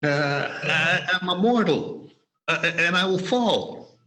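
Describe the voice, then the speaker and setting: A man's voice: fairly high pitch, very animated, a little slow, fairly bright tone. A man playing a nervous, remorseful old miser in a radio play, stammering and hesitant. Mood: fearful.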